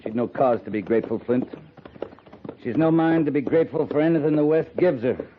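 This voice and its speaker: An actor in a 1950s radio drama playing a gruff, plain-spoken but decent army captain. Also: male voice, medium pitch, expressive, fairly quick, natural voice. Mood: reflective. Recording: tinny, faintly noisy 1950s radio recording.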